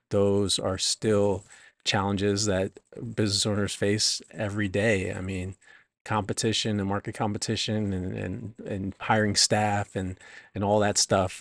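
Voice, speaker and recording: Low-voiced man reflecting in an interview. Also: gravelly, dry recording. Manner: halting, reflective, explanatory. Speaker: author and business coach being interviewed. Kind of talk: conversational interview.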